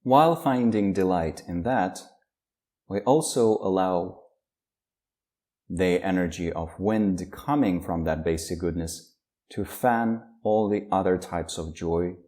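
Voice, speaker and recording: Male meditation teacher, low dark voice, unhurried guided practice with long pauses. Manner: slow, calm, with long pauses.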